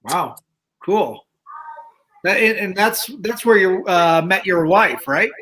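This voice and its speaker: A man's voice: high-pitched, expressive, slow, pushed and bright, poor audio. A man hosting a casual interview show, joking and swearing, often stumbling and repeating words. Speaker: podcast host.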